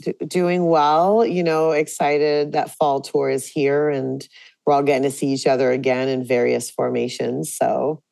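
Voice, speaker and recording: A woman hosting a podcast, her voice a little raspy after a late night, chatting in an upbeat way with many fillers. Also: a bass voice, flat delivery, unhurried, mellow, no room sound, low-quality recording. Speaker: podcast host. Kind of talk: casual podcast chat.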